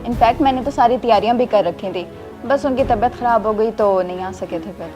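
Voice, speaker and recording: A woman's voice: a little high, a little fast, music in the background, natural voice. A woman acting an impulsive, easily swayed young wife in a moral-story drama. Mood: defensive, placating.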